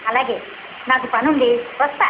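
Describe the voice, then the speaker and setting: A woman's voice: high-pitched, fairly even intonation, normal speed, lightly acted. A woman playing a practical older wife in a radio comedy drama, chiding and exclaiming in a matter-of-fact way. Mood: protesting. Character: practical, scolding older wife and mother-in-law. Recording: echoey, narrow-band, noisy old radio recording.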